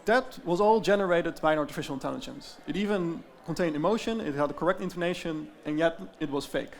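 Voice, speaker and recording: A man with a Dutch accent giving an explanatory presentation, often pausing with fillers. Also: a little high, vocal fry. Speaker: tech founder giving a talk. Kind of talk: presentation talk.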